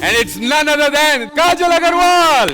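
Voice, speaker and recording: A man hosting a TV dance show, making energetic, showy announcements with drawn-out, emphatic flourishes. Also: very high-pitched. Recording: loud music over stage microphone. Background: loud show music.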